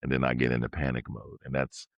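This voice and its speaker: A man's voice: rumbling low, monotone, fast, creaky, no echo, highs cut off. A man co-hosting a podcast, speaking in a casual, reflective conversational style and explaining things analytically. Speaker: podcast co-host.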